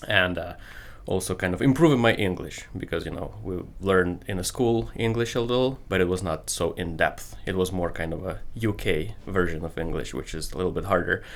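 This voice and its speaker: A man in his thirties from Ukraine with a Ukrainian accent, speaking English as a second language and answering interview questions haltingly with many ums. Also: low voice. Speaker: guest, computer business owner. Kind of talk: casual interview.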